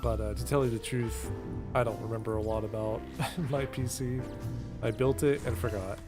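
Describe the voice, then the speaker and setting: A man's voice: mid-range voice, steady tone, normal speed. A man hosting a livestream, chatting casually to his viewers. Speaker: livestream host. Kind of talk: casual chat to stream viewers. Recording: loud music under voice. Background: music.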